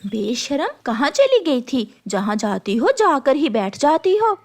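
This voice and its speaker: Woman as a scolding, high-voiced stepmother bird. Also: very animated, a little slow, exaggerated voice. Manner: scolding, sarcastic.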